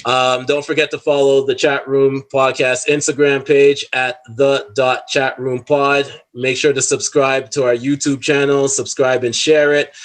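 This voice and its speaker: A man's voice: medium pitch, little pitch movement, measured pace, few pauses. A man with a Toronto accent hosting a casual panel podcast. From Canada. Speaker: podcast host.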